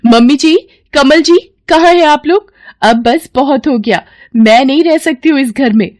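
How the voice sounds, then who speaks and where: A woman's voice: high voice, measured pace, darkish tone, voice pushed up. A woman voicing a vain, haughty, selfish young daughter-in-law in a cartoon melodrama, complaining dramatically. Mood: indignant.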